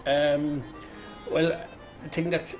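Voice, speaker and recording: A middle-aged man giving a reflective interview, pausing and searching for his words. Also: on the high side, level tone, soft background music, rough recording. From Ireland. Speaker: local interviewee, Irish speaker.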